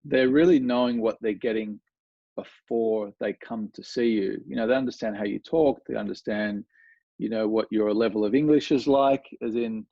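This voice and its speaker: A man's voice: fairly low pitch, normal speed, vocal fry, no echo. Australian male guest, easygoing and meandering, muffled close dry audio. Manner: relaxed, rambling with many fillers and restarts. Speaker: golf coach, guest. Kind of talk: casual conversational interview.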